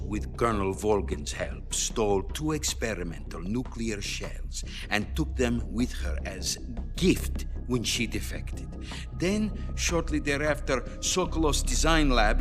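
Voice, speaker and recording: An actor playing a stern Soviet premier in a game cutscene, speaking measured and grave. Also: male voice, medium pitch, close to an everyday voice. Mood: probing. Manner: measured and grave, rising to angry. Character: stern Soviet premier man, cautious and demanding. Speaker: Soviet leader on a hotline call. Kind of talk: video game cutscene acting. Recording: game audio with score behind.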